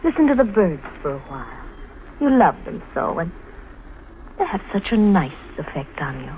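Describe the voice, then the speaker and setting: An actress playing a sweet, chatty young wife with an innocent, coaxing manner in a 1950s radio mystery. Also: female voice, on the low side, sing-song, moderate pace. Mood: puzzled, coaxing. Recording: tinny, hissy 1950s radio recording.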